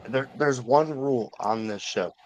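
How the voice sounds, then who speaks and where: A man's voice: mid-range voice, unhurried, a little hiss or hum, poor audio. Male co-host for casual conspiracy chat, insistent, rambling and foul-mouthed. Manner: profane, insistent, repeats himself. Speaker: talk show co-host.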